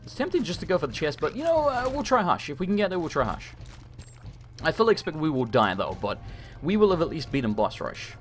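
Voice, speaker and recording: Hoarse, animated man commentating gameplay. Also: a little high, quick pace, slight background noise. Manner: animated, frantic, swears often. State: hoarse, losing his voice. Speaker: gaming streamer.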